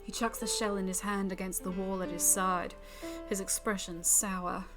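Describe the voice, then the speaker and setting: A woman's voice: mid-range voice, level tone, normal speed, over music. A woman streamer reading a visual novel aloud as dramatic story narration.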